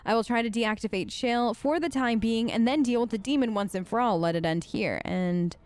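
A woman reading the part of a scholarly enchanter in a fantasy game, musing formally over a research journal. The voice is fairly high and measured in its wording. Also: a little mellow, natural voice.

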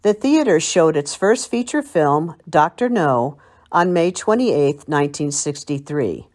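Older American woman, lively low-pitched narration. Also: a little slow. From Long Island, United States. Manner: storytelling, reminiscing. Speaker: library local history presenter. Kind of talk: scripted narration.

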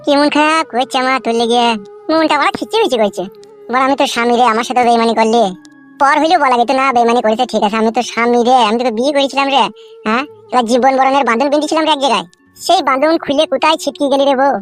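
A man voicing a heartbroken cartoon village husband, melodramatic and drawn-out. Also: very high-pitched, fairly bright tone, soft background music. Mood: mournful. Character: heartbroken village husband wailing for his runaway wife.

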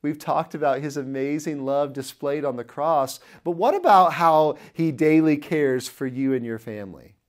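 A man's voice: fairly high pitch, normal speed, hardly any pauses. A man in his thirties preaching a sermon to camera, earnestly telling a personal story while gesturing, recorded in an indoor studio on a lapel mic.

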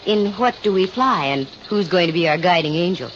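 A woman's voice: lowish voice, animated, a little fast, noisy background, lightly acted. An American actress playing a wry, self-assured female private investigator in a 1950s radio drama, with dry wisecracking delivery. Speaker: lead actress and narrator. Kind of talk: 1950s radio detective drama acting, with first-person narration. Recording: muffled old radio recording, music behind.

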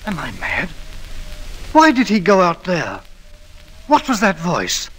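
A man playing a polite, skeptical gentleman guest who is easily alarmed, in a 1940s radio drama. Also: high-pitched, takes it slowly, husky, exaggerated voice. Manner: polite, incredulous, excitable. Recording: noisy old radio broadcast.

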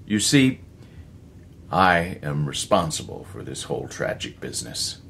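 A man's voice: a bass voice, sing-song, a little slow, vocal fry, fairly bright tone, a little hiss or hum, exaggerated voice. A man voicing a rueful, guilt-ridden scientist of sixty in a story, sympathetic and resigned. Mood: sympathetic, grave.

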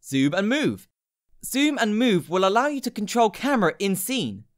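Lively-pitched woman narrating a tutorial. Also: moderate pace. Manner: instructional, clear short directions. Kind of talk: scripted step-by-step app tutorial narration.

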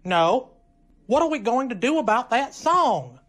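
A woman's voice: fairly high pitch, sing-song, unhurried. A woman playing a slangy, matter-of-fact young college musician in an old-time style radio comedy. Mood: blunt, resigned.

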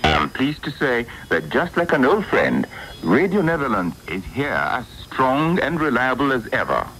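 A man's voice: mid-range voice, sing-song, a little slow, a bit bright. A man reading listeners' letters aloud on a radio programme, captured from a noisy 1980s shortwave broadcast. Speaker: letter reader.

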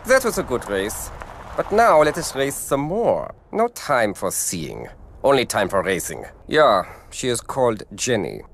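Race car, boastful, formal, expressive pitch, cartoon. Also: male voice, unhurried, a little hiss or hum. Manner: stiff, proud, formal phrasing. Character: boastful male German race car, impatient to race. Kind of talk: animated game voice acting.

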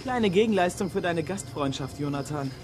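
A man's voice: fairly high pitch, animated, normal speed, music in the background, lightly acted. An actor playing a plucky, wry teenage boy detective in a children's radio play. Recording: clear background noise.

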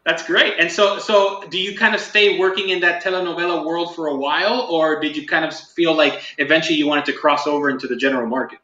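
Very bright man on roomy, dull call audio. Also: on the high side, steady tone, a little fast, few pauses. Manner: encouraging, frequent short affirmations. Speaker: interview host. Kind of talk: casual interview questions. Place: home room with a green wall, video call.

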